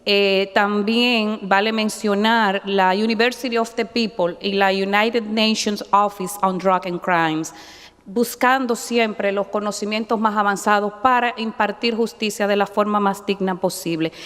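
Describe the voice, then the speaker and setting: A woman's voice: mid-range voice, steady tone, measured pace, fairly bright tone, smooth. A middle-aged woman judge, speaking formally and measuredly with devout asides, a little nervous. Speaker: judge and candidate. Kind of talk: formal hearing testimony. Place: large hearing chamber. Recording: long reverb in a hall.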